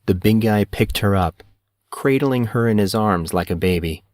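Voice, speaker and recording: A man narrating a fantasy novel in a measured, even storytelling manner. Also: on the low side, no room sound. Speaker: audiobook narrator.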